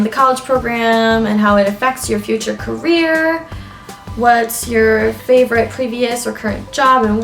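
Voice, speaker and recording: Higher-pitched young woman in an echoey room. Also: creaky. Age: young adult. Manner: chatty, explanatory, lists points. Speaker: vlogger giving interview tips. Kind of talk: informal advice vlog, talking from notes. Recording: echoey room with background music.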